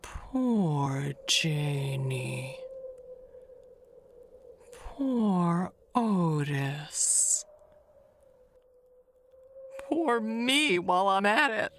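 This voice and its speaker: An actress playing Agnes as a chatty, nervous, wistful middle-aged neighbor in an audio mystery, halting and rambling. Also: female voice, lowish voice, big pitch swings, a little hiss or hum, full character voice. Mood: hushed, brooding. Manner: halting, rambling, trailing into hushed musing. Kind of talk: dramatic monologue in an audio mystery play.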